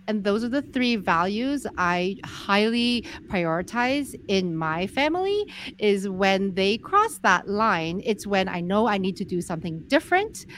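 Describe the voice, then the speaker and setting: Soft-voiced woman, 40s, calm and measured, podcast guest chatting. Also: mid-range voice, a little hiss or hum. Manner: soft-spoken, measured, explanatory. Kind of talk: casual interview conversation.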